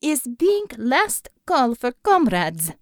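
A woman's voice: high voice, sing-song, slow pace, dry recording, clean studio sound, heavily acted. A woman voicing a bossy, scornful Soviet superhero commander with a Russian accent and clipped broken English. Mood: deceptively sweet.

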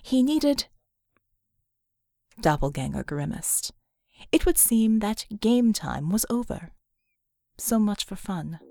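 A woman's voice: mid-range voice, big pitch swings, takes it slowly, creaky, pauses often, mellow, dry recording. Woman narrating fiction, close and dry. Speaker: audiobook narrator.

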